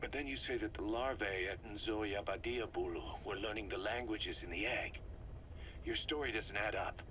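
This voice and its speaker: An actor playing a hard, bitter military interrogator in a video game, curt and distrustful. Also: male voice, fairly low pitch, normal speed, a bit bright, lo-fi sound, lightly acted. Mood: distrustful, challenging. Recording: noisy cassette tape recording.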